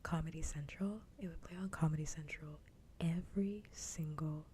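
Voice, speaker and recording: A woman streamer chatting casually and with opinions in a soft, breathy whisper, close to an ASMR microphone. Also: low-pitched, fairly even intonation, normal speed, a little hiss or hum.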